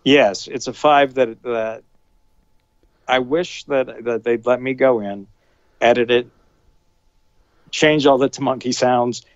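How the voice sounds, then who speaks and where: A man co-hosting a casual film review podcast, talking in halting bursts with many restarts. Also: mid-range voice, fairly even intonation, no room sound, muffled recording. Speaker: podcast co-host.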